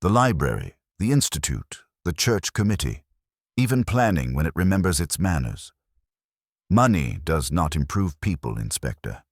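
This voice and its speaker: Man voicing a prim, imperious older widow, very low-pitched. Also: big pitch swings, moderate pace, slightly rough, dry recording, clean studio sound, heavily acted. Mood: prim, composed. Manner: precise, formal, aphoristic, self-possessed.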